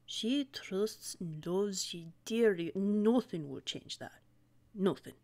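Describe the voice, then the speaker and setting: A woman voicing a small male winged cartoon nephew, gentle and loyal, offering firm, folksy reassurance. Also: mid-range voice, slow pace. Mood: earnest reassurance.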